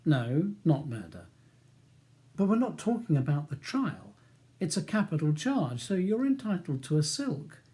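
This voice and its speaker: A man voicing a composed, courteous barrister in his thirties, polite, measured and persuasive, in an audiobook. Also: on the high side, very animated, warm tone, voice pushed up. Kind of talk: audiobook character dialogue.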